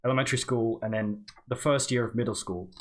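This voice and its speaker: A young man of ordinary middle pitch co-hosts a livestream. He chats casually and thinks aloud as he works through small tasks. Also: fast. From United States and Britain. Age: young adult. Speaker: co-host.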